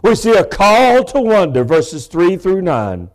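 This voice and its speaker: A man, preaching a sermon from a church pulpit microphone in a big, loud voice with folksy asides. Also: high voice, sing-song, measured pace. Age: 50s. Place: church sanctuary.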